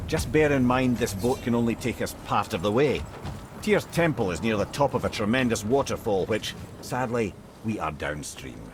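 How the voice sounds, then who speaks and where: An actor voicing a wry, worldly-wise storyteller companion in a video game, with a Scottish accent and an eloquent, sardonic manner. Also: male voice, on the low side, expressive, moderate pace, noisy background, natural voice. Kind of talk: dramatic video game acting.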